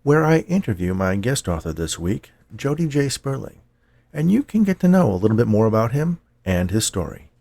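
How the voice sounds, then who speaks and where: Lively, gravelly man hosting a podcast. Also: on the low side. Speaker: podcast host and story narrator. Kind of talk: interview and story narration.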